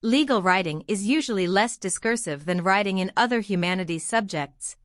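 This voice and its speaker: A woman's voice: mid-range voice, lively intonation, a little fast, no echo, clean studio sound. A woman reading a short academic text aloud in a formal, instructional manner. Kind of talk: scripted read-aloud of an exam practice text.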